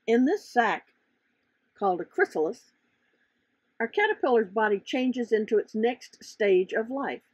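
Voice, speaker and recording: A woman narrates a children's storybook with very lively intonation and clear emphasis. The voice is a bit raspy, recorded close and dry, and they explain things expressively as the author of the book. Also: on the high side, measured pace, long pauses, no room sound, highs cut off. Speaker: author reading their own children's book.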